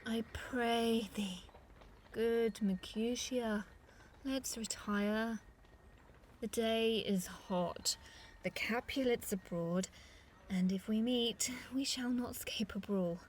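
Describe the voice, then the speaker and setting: A woman playing an anxious, cautious friend with a hushed, wary delivery in a Shakespeare audio drama. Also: fairly high pitch, takes it slowly. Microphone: phone, recorded at home. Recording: faintly noisy home phone recording.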